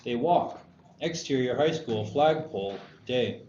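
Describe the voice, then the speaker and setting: Guy reading screenplay action lines, mid pitch, echoey room with some noise. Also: animated, unhurried, darkish tone. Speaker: narrator reading stage directions. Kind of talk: scripted narration, screenplay table read. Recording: dull echoey room, noisy.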